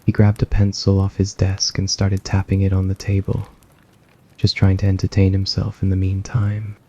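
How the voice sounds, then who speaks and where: A man reading a scripted story in a soft ASMR narration style. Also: low voice, monotone, moderate pace, highs cut off.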